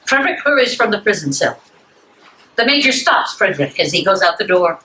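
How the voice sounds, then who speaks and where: A woman's voice: medium pitch, sing-song, brisk, sharp tone, rough recording. A woman reading stage directions as scripted narration at a live reading in a room. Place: indoor room at a public library.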